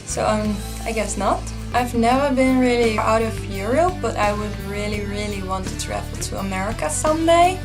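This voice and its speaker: Young girl answering viewer questions, high chirpy voice, music clearly playing behind. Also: moderate pace, few pauses. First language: Dutch. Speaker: YouTuber. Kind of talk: older vlog clip, answering viewer questions.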